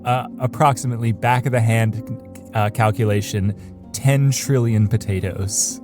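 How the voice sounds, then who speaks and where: A man playing a stubborn, self-serious visionary game director in improvised comedy audio drama, speaking deliberately and deadpan with hesitations. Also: medium pitch, over music, lightly acted.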